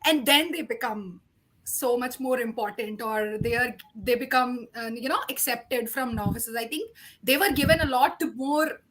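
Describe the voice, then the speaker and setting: A woman's voice: fairly high pitch, moderate pace, fairly bright tone, a little hiss or hum, low-quality recording. A woman in a casual book discussion, arguing her points emphatically as a panelist and restating them.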